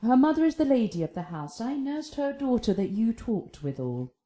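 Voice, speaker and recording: An actress playing a loyal, mature household nurse in a Shakespearean radio drama. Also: female voice, fairly high pitch, very animated, moderate pace, warm tone. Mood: matter-of-fact. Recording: roomy, echoing sound.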